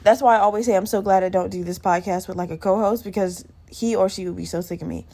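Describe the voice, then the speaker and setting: A woman hosts her solo podcast with a sleepy, just-out-of-bed growl and plenty of vocal fry. Her talk is loose and rambling, candid and self-deprecating, with casual swearing. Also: medium pitch, fairly even intonation, quick pace, dark tone. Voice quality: slightly gravelly morning voice. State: just woke up. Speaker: podcast host.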